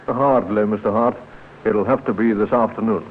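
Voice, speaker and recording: An actor playing a firm, dry British police inspector in a radio drama, clipped and politely insistent. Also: male voice, on the low side, fairly even intonation, normal speed, natural voice. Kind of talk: 1950s radio drama acting. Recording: narrow, noisy vintage radio recording.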